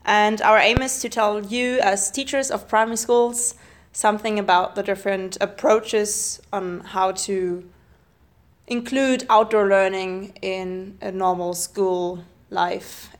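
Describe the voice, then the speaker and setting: A woman's voice: mid-range voice, fairly even intonation, takes it slowly. A young woman, a primary school teacher, hosting a casual podcast and asking her co-hosts questions. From Germany. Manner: friendly moderator asking questions. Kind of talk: casual discussion.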